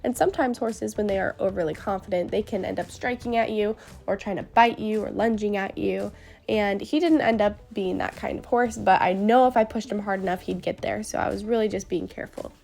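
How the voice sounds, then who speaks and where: A woman's voice: mid-range voice, a little fast, gravelly, darkish tone. A young woman with a stuffy cold, narrating her horse training in an upbeat, earnest voice-over that explains each step.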